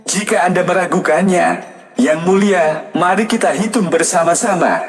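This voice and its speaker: A man voicing a calm, clever male court wit who speaks courteously in a storybook tale. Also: high voice, moderate pace, voice pushed up. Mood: calm, teasing. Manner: composed, courteous. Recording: long reverb, music behind.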